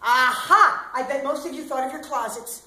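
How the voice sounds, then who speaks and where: A woman acting as an eccentric, loud-voiced bag lady on a small theater stage, talking brashly and rambling straight at her listeners. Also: high-pitched, normal speed. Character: eccentric loud middle-aged bag lady waiting for aliens. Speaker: stage actor. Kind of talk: theatrical comic monologue. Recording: echoey hall, faint ambient noise.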